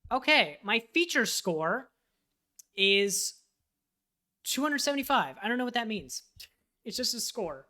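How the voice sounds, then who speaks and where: A man with a bright, forward voice chatting casually on a livestream. He thinks aloud as he fills out a form and talks straight to his viewers. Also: sits high. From United States. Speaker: live streamer and web developer.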